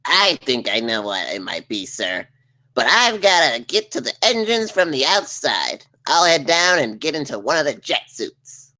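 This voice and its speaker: A woman's voice: very deep voice, big pitch swings, normal speed, pushed and bright, heavily acted, poor audio. A woman playing a cocky, spiteful male spaceship officer with a boastful manner in a comedy table read. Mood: eager. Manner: boastful, hostile.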